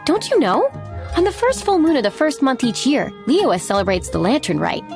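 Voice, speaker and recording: A gracious lady innkeeper in a fantasy video game. The voice is on the high side, composed and courteous, explaining things gently over faint music. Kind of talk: video game character dialogue.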